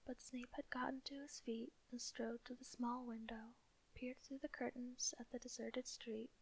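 A woman whispering her way through a novel as narrator, soft and steady throughout. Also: fairly high pitch, normal speed, husky, rough recording. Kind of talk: whispered audiobook reading.

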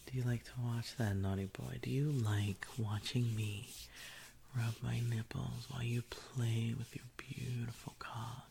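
A woman doing an intimate whispered audio roleplay, teasing and commanding in a breathy whisper close to the mic. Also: rumbling low, little pitch movement, measured pace, dark tone, slight background noise.

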